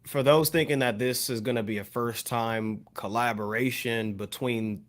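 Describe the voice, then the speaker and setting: An American man talking as a podcast panelist, explaining his view on music in a casual, measured way. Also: mid-range voice, fairly even intonation, fairly bright tone. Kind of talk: casual discussion, music commentary.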